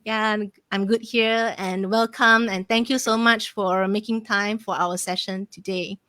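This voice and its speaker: A young adult woman hosts a casual online interview. Her medium-pitched voice is recorded dry, without room echo, and she phrases things haltingly with small fillers. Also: fairly even intonation, pushed and bright. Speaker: interview host, online business mentor. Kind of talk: casual live-stream interview hosting.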